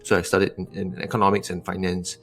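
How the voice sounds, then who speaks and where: A man's voice: low-pitched, monotone, a little fast, vocal fry, soft background music. A man from Singapore, answering interview questions thoughtfully, often restarting and adding tag questions. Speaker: investor guest.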